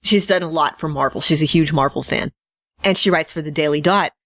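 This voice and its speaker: A woman's voice: deep voice, a little fast, a bit bright, no room sound. A woman co-hosting a fan podcast, chatting casually and enthusiastically, with wry asides and digressions. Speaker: podcast co-host. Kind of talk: casual fan news chat.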